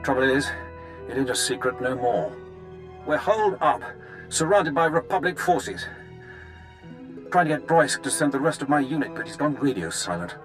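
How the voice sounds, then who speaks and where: A man's voice: medium pitch, animated, moderate pace, pauses often, close to an everyday voice. Guy doing tired tough army major in a game, folksy and blunt, medium voice, music playing behind. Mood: grim, grateful. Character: plain-spoken, battle-weary male army major, defiant. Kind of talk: scripted video game acting.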